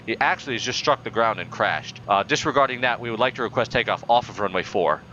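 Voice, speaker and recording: Young man, lowish voice, stiff pilot radio phrasing, deadpan and exasperated, headset mic, clear game noise behind. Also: quick pace, dull audio. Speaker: pilot player in a flight sim. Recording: game audio in background.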